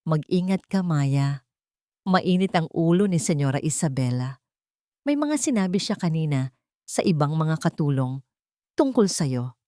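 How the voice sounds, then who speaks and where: A woman plays an elderly housemaid in an audio drama, wary and kindly, confiding her warnings. The voice sits slightly low and is recorded very dry. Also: animated, unhurried, pauses often, mellow, no echo. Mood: worried warning. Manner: cautious, confiding.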